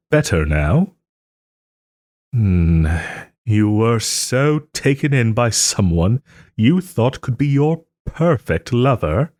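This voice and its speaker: A man playing a haughty but tender wronged nobleman in a romantic audio roleplay, speaking theatrically with formal, old-fashioned phrasing and hesitant pauses. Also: lowish voice, no echo, clean studio sound. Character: wronged young nobleman, haughty, wounded, still tenderly caring.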